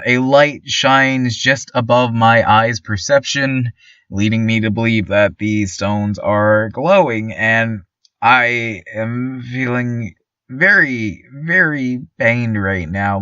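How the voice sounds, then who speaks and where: A man playing a chipper, nervously obedient small-town radio host, stammering politely through a deadpan surreal monologue. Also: a little high, no echo, far from the mic, muffled recording, heavily acted. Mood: uneasy. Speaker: local radio station host.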